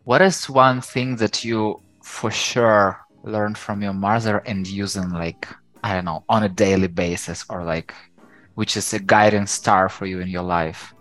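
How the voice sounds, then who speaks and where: A woman's voice: very deep voice, fairly even intonation, a little slow, a bit bright, soft background music. A woman whose first language is Ukrainian, hosting an interview and asking short, curious questions. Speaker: host.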